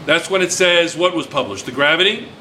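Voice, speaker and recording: A man's voice: fairly high pitch, animated, fairly quick, sharp tone. A man teaching a class, chatty and teasing, repeating his students' answers back to them. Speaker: physics teacher. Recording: noisy classroom recording.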